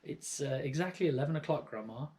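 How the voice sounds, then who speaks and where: A man's voice: a little high, fast, mellow, natural voice. A man voicing a polite, slightly cheeky young boy in a storybook reading. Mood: earnest. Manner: earnest, mildly cheeky. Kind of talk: storybook read-aloud character voice.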